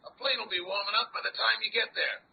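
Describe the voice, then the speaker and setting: An actor playing a hoarse, slangy tough adventurer in an old-style radio drama. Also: male voice, sits high, fairly even intonation, fast. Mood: brisk, slangy. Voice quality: hoarse, gravelly. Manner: rough slangy speech. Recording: tinny, noisy old-time radio sound.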